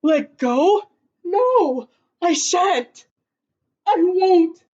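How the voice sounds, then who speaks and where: Timid male koala played by a woman reader, storybook, high-pitched, pleading, stubborn, anxious, dull recording. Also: expressive, very slow, dark tone, full character voice. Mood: panicked, protesting.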